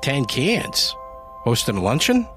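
A man's voice: mid-range voice, huge pitch swings, slow pace, a bit bright, faint music behind, voice pushed up, exaggerated voice. A man voicing a friendly, chatty corner-store shopkeeper. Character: friendly middle-aged male shopkeeper.

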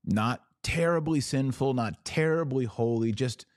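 A man's voice: medium pitch, unhurried, dry recording, studio quality. A man hosting a religious podcast, explaining in an emphatic monologue with rhetorical questions and repeated key words. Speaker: podcast host.

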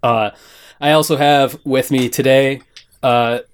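A man hosting a casual, comedic panel chat, animated and joking, often restarting his sentences. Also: medium pitch, slow, clear, resonant tone. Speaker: podcast host.

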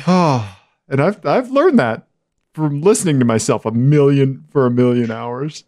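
A man co-hosting a casual podcast, giggly and animated, with a naturally high-pitched voice. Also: unhurried, dry recording, clean studio sound. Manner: giggly, animated, voice jumps high when excited. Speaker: podcast co-host.

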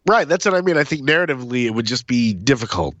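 Man with a cold, lively and chatty. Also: medium pitch, fast talker, no echo, muffled recording. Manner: relaxed, conversational, lively intonation. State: has a cold, rough voice. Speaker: podcast co-host. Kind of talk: casual chat.

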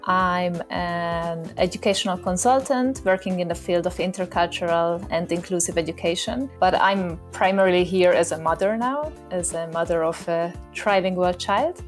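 A woman whose first language is Hungarian, an educational consultant, introducing herself calmly over an online call. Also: on the low side, normal speed, few pauses, faint music behind. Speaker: educational consultant, guest panellist. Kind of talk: casual self-introduction.

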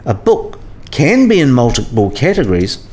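A learned professor in a radio play, acted by a man, philosophical and patient like a mentor. His voice sits at a middle pitch and rises and falls animatedly as he lectures in scripted dialogue. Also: wildly swooping pitch, normal speed, darkish tone. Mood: explaining.

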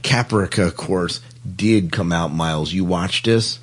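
A man's voice: low voice, unhurried. A man co-hosting a podcast in a casual, chatty style. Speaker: podcast co-host.

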